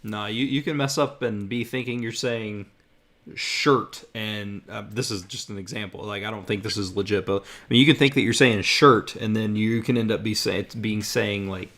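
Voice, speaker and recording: A man hosting a podcast, chatting in a relaxed way and reading out listener questions. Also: on the low side, moderate pace. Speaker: podcast host. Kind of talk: casual chat.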